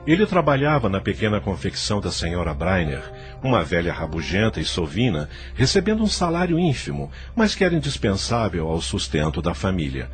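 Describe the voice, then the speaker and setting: A man narrates an audio novel in a literary, scripted style with a Brazilian accent. His voice is low and moves expressively, with music behind it. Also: wildly swooping pitch, fairly quick, few pauses.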